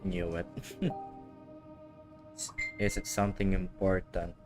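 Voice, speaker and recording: Male game streamer, deep voice, offhand joking commentary, casual, game music behind. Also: level tone, slow pace, a little mellow. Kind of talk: casual live gameplay commentary.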